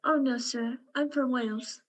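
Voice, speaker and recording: A woman's voice: high-pitched, fairly even intonation, normal speed. A young woman playing a shy, sweet, deferential eighteen-year-old farm girl in a radio play. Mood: shy, polite. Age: young adult. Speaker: student actor.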